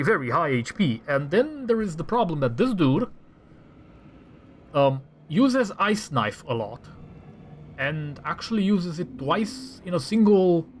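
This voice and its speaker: Animated man commenting on gameplay. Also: a little high. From Romania. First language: Romanian. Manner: thinks aloud, trails off and restarts, breaks into exasperated rants. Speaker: gaming channel host. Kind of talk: casual gameplay commentary while showing a mod.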